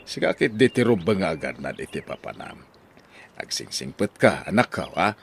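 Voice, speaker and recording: Deep-voiced gentle, devout Ilocano father. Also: very animated, normal speed, creaky, slight background noise, heavily acted. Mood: tender, advising. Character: gentle, devout old father advising his child. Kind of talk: Ilocano radio drama acting.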